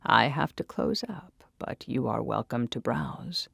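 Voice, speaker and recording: A woman voicing a gaunt older male flower-shop keeper in audio fiction, creepy and eager, speaking softly and breathily in a coaxing, soothing way. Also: very deep voice, animated, no echo, clean studio sound, heavily acted. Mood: creepy, polite. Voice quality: breathy, whispery. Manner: slow, soft, coaxing.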